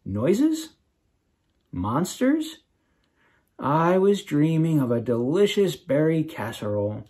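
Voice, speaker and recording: A man's voice: a little high, huge pitch swings, slow, a little mellow, husky, voice pushed up, exaggerated voice. A man voicing a big, sleepy, easygoing young bigfoot in a children's storybook, laid-back in manner. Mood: puzzled.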